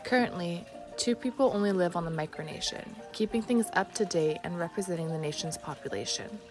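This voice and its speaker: A woman's voice: lowish voice, brisk, music in the background. A woman narrating a documentary from a script.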